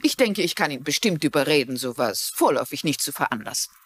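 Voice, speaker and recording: A woman playing a kindly, concerned middle-aged woman in a dubbed TV drama, gentle and articulate. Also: sits low, animated, fast talker, breathy edge. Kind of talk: German-dubbed TV drama acting.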